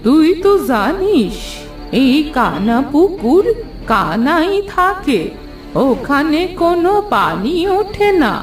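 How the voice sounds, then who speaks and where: Slow, menacing old pond spirit. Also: female voice, high voice, sing-song, echoey room, distant mic, faint music behind, exaggerated voice. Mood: menacing, accusing. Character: vengeful two-hundred-year-old female pond spirit. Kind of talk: cartoon fairy tale acting.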